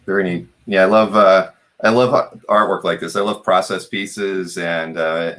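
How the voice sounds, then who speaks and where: A man with a low-pitched voice hosts a casual live chat. He is agreeable and conversational. His sentences come out a bit haltingly as he feels his way to the point. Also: fairly even intonation, a bit bright. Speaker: interview host.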